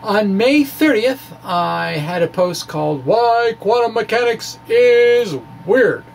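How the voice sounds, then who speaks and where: Guy with a kinda high gravelly voice talking thru his blog, jokey asides, bit of background noise. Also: slow. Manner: animated, wry, informal asides. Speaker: physics blogger presenting his own puzzles. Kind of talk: informal explainer, reading and discussing a blog.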